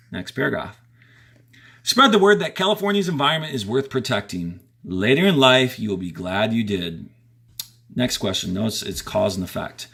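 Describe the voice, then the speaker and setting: A man reads a school textbook aloud as a teacher would. His medium-pitched voice moves up and down in a lively way. He is deliberate and instructional, leaning on key words and adding short directions for pupils. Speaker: fourth-grade teacher.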